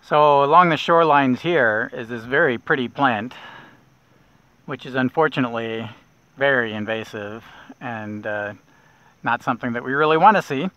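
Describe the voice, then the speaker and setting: A man's voice: mid-range voice, normal speed, clear, resonant tone, dry recording. Middle-aged man explaining plants outdoors. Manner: relaxed explaining, thinks aloud with restarts. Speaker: naturalist guide. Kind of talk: outdoor nature walk narration. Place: outdoors on a lake, from a kayak. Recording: dull lo-fi outdoor audio.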